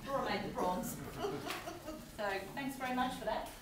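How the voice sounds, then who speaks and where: A woman's voice: sits high, normal speed. Echoey, distant woman giving informal thanks. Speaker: puppet show presenter giving thanks. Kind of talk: informal spoken thanks to a group. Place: room in a nursing home. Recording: echoey, noisy, distant room recording.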